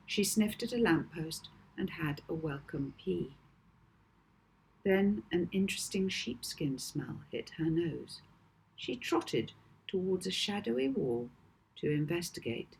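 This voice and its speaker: A woman author reading her children's novel aloud as expressive storybook narration, with deliberate phrasing and dramatic emphasis. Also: fairly low pitch, vocal fry, rough recording.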